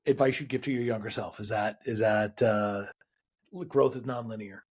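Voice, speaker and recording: A man's voice: fairly low pitch, fairly even intonation, normal speed, darkish tone, breathy edge. A man hosting a podcast interview, casual and affirming with frequent short agreements. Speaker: podcast host.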